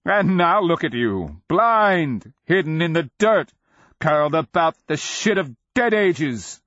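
A man voicing an ancient, half-mad nonhuman warrior king who taunts in loud, declamatory cries for a fantasy audiobook. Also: very slow, dry recording, highs cut off, exaggerated voice. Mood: mocking. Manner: high, shouted, declamatory taunting. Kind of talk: fantasy audiobook character acting.

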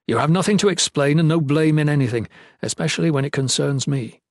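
A man's voice: a little high, brisk, no echo, close to an everyday voice. A man voicing a defiant, loyal guardsman in a fantasy audiobook, speaking firmly with dry formality and stoic pride. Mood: formal, urgent.

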